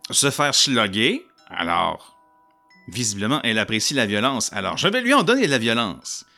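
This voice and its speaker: A man with a Québécois accent narrating a solo tabletop roleplaying game in an animated, jokey way and talking to his audience. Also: medium pitch. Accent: Québécois French.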